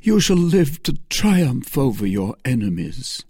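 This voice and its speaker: A man's voice: mid-range voice, big pitch swings, unhurried, darkish tone, slightly rough, no echo, studio quality, pitched above the performer's own voice, exaggerated voice. A man voicing an ardent, infatuated young officer in an emphatic, impassioned storybook manner.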